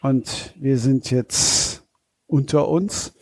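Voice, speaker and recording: A man's voice: mid-range voice, extremely slow, breathy edge, dry recording. A man hosting a casual podcast, asking his guests jovial questions. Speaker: podcast host.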